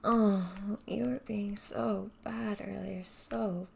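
A woman speaking straight to the listener in a soft, breathy, often whispered voice, dominant and teasing in an intimate audio roleplay. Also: mid-range voice, steady tone, slow.

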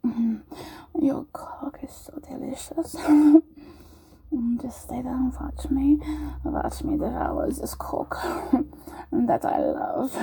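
Flirty tavern maid, put on by a woman; fake German accent, husky, dark-toned whisper, unhurried. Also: high voice, fairly even intonation, heavily acted, low-quality recording. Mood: whispery. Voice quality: breathy, whispered. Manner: giggly, teasing, slow. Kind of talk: roleplay audio.

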